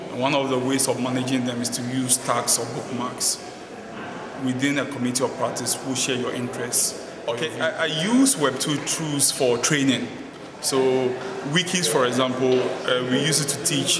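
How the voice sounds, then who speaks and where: A man from Ghana, giving a steady, matter-of-fact interview answer at a conference. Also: mid-range voice. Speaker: journalism trainer. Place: conference venue hall. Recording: echoey hall with crowd.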